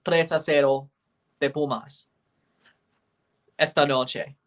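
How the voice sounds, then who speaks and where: A man's voice: a little high, fairly even intonation, slow pace, fairly bright tone. A young man hosting a live sports watchalong stream, reading chat aloud and giving opinionated takes. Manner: reads out team lineups. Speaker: livestream host reading chat.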